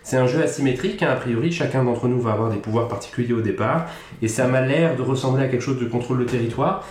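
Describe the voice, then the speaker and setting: A man's voice: medium pitch, fast, darkish tone. Chatty man in an echoey room. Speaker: podcast co-host. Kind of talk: casual chat about board games.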